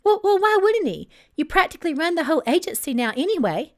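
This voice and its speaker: A woman's voice: extremely high pitch, big pitch swings, fast talker, voice pushed up, exaggerated voice. A woman reading a story aloud, voicing a pampered young bride-to-be who is nervous, pleading and manipulative. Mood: wheedling. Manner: flustered, stammering, pleading.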